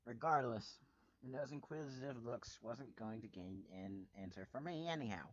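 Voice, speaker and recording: A man reading fan fiction aloud as a dramatic first-person narrator, with a wry, expressive delivery. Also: medium pitch, normal speed, no echo, dull audio.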